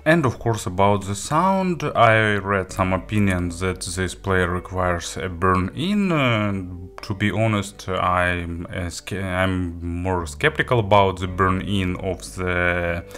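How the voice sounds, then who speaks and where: Low-voiced man reviewing gear, unhurried. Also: fairly bright tone, faint music behind. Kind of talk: casual spoken product review.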